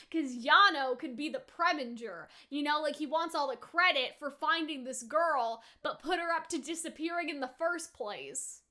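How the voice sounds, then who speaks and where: A woman's voice: sits high, pushed and bright. A woman host giving casual anime reaction commentary in a chatty, slangy way, acting out bits as she retells them.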